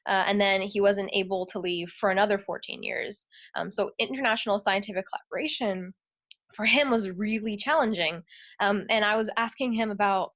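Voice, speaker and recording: A young woman giving an academic talk while ill with the flu, her voice strained, speaking haltingly with many pauses and fillers. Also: mid-range voice, a bit bright. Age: young adult. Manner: halting, many ums, apologetic. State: ill, losing her voice, clearing throat. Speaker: guest speaker. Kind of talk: academic talk on a video call.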